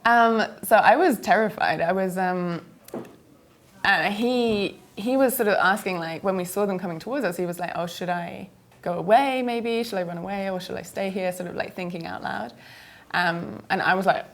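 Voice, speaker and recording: A woman's voice: medium pitch, moderate pace. A young woman from the UK, a filmmaker guest answering interview questions hesitantly, full of fillers, and tired from travel.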